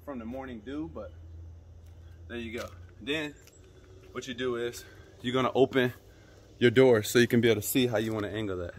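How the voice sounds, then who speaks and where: A man's voice: medium pitch, a little hiss or hum. Young man giving outdoor how-to tips. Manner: relaxed, instructive, addresses viewers directly. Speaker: fishing channel host. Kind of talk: casual how-to demonstration. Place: suburban driveway outdoors. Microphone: camera mic, moving toward and away.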